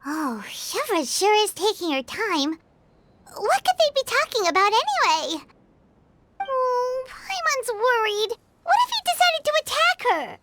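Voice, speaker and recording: A woman voicing a tiny, excitable, talkative childlike fairy companion in a video game. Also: extremely high pitch, a little slow, full character voice. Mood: worried, curious. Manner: refers to self in third person.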